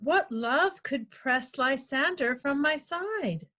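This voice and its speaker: Bewildered young sweetheart woman reader, Shakespeare table read: high-pitched, crisp-edged bright tone, unhurried verse delivery. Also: animated, exaggerated voice. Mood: searching, puzzled.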